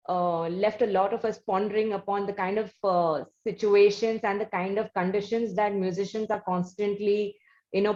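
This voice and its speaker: A woman's voice: medium pitch, a little mellow. Indian woman interviewer, slightly dull audio. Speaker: interview host. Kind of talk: formal, wordy interview questioning.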